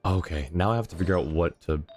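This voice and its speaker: Low voiced guy streaming a game, chatty, thinks out loud, faint music behind. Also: fast talker. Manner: chatty, thinks aloud, reads out chat. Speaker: game livestreamer. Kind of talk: casual livestream chat and game commentary.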